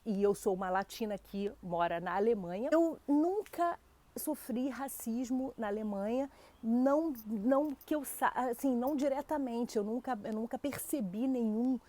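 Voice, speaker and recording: A woman's voice: on the high side, moderate pace, warm tone. A woman from Brazil with a Brazilian Portuguese accent, hosting her own channel and answering a viewer's question in a chatty, direct way. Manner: chatty, talks straight to viewers, many fillers. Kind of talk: casual talk to camera.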